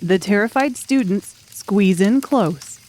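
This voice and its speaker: A woman's voice: mid-range voice, slow, a little mellow. A woman reading scripted story narration as an audiobook narrator.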